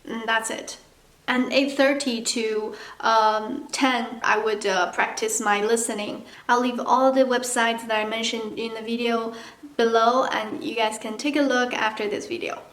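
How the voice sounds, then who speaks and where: A woman's voice: on the high side, fairly even intonation, unhurried, fairly bright tone. A woman from China with a Chinese accent, native speaker of Mandarin, chatting candidly to camera as a language-learning vlogger. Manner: chatty and candid, acts out playful asides. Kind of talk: casual talk to camera. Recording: echoey room.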